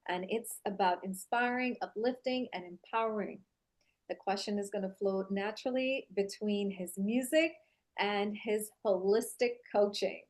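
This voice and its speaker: A woman's voice: medium pitch, normal speed. A woman hosting a motivational podcast, introducing and interviewing her guest in a conversational way.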